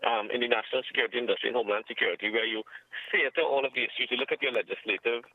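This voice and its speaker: A man with a Trinidadian accent speaking as an interviewed security expert over the telephone, in a formal, measured, emphatic way, repeating phrases for stress. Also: medium pitch, steady tone, dry recording. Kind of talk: phone interview commentary. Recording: muffled narrow-band telephone line.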